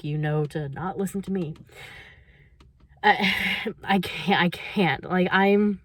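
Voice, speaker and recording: A woman's voice: on the low side, dry recording. A young woman talking casually in a reading vlog, chatty and laughing often between her thoughts. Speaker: book vlogger.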